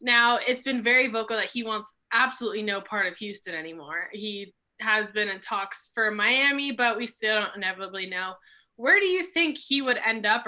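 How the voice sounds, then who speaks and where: A young woman co-hosting a casual sports podcast, slightly raspy from a cold, conversational and asking her guests questions. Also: on the high side. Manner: conversational, asks questions, trails off quietly. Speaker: podcast co-host.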